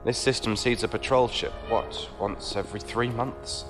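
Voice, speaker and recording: A man's voice: mid-range voice, fairly even intonation, moderate pace, over music, close to an everyday voice. A man playing a genteel knight who talks in a courtly, patronizing way with dry wit. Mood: wry. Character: genteel, condescending male knight with a ship.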